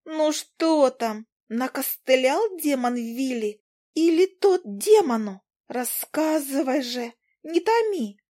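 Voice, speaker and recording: A woman voicing an excitable, gossipy tiny female fly in an audiobook, in rapid, squeaky chatter. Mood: excited. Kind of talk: audiobook character voice.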